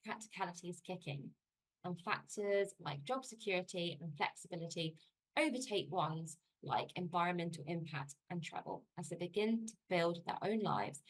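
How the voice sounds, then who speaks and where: A woman giving a measured, prepared presentation. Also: fairly low pitch, expressive, poor audio.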